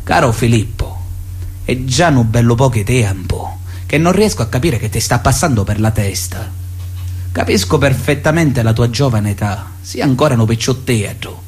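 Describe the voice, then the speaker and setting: An actor playing a pious, paternalistic Sicilian mafia elder, solemnly sermonizing with veiled menace in a radio drama letter. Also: male voice, mid-range voice, expressive, darkish tone, soft background music, close to an everyday voice. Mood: paternal sermonizing. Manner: solemn, sermonizing, measured insistence. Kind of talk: theatrical radio drama monologue, letter read aloud.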